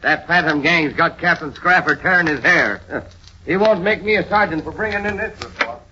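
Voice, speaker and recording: A man's voice: on the high side, moderate pace, natural voice. A man playing a slangy, scoffing junior policeman in an old radio drama, breezy in manner. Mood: mocking. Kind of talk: 1930s radio drama acting. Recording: dull, faintly noisy old radio recording.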